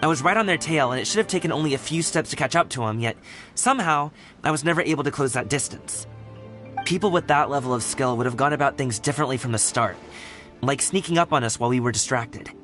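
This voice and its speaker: A man's voice: medium pitch, animated, fast talker, a bit bright, faint music behind, close to an everyday voice. An actor playing a perceptive young man in a video game, casual, direct and confident. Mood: confident, analytical. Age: young adult.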